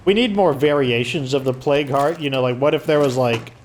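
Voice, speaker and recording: Hoarse man rambling through game commentary. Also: mid-range voice, brisk, a little hiss or hum, low-quality recording. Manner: rambling, filler-heavy explaining. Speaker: let's play host. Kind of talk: casual gameplay commentary.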